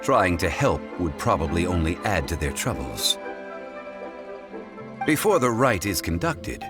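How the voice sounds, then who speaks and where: A man voicing a calm, learned, dignified consultant in a fantasy video game, speaking in a measured, formal way. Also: low voice, music in the background, full character voice. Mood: composed, matter-of-fact. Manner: measured, formal, deliberate delivery.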